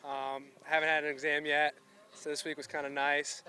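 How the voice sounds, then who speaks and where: A young man, a college baseball pitcher, giving easygoing answers in a postgame interview outdoors. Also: monotone, a little fast, pushed and bright, clear, resonant tone. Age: young adult. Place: outdoors beside a baseball field.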